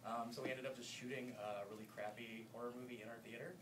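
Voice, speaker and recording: A man's voice: medium pitch, level tone, fast talker, noisy background. Man filmmaker, laid-back, rambling with ums, echoey room, distant mic. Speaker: filmmaker on a festival panel. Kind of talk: casual storytelling.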